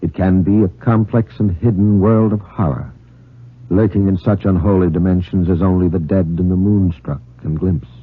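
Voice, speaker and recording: An American man introducing a radio horror program in a solemn, measured, scripted 1950s announcer style. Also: deep voice, steady tone, husky. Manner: solemn, ominous, measured delivery. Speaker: announcer and host of radio anthology. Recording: muffled vintage 1950s radio recording.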